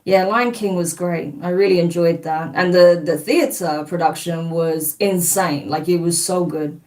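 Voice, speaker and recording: Chatty low-voiced woman with a slight Kiwi twang. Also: fairly even intonation, measured pace, darkish tone, distant mic. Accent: slight New Zealand twang. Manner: chatty and rambling, brushing off trolls with blunt scorn. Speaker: oracle card reader hosting a live stream. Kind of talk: casual live-stream chat, reading viewer comments aloud.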